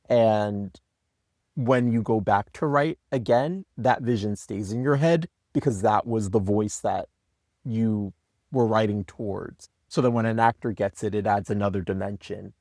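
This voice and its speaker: A man speaking as a podcast guest and scriptwriter, explaining his work in a measured, conversational way. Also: on the low side, a little mellow, no echo, clean studio sound. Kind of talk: conversational behind-the-scenes discussion.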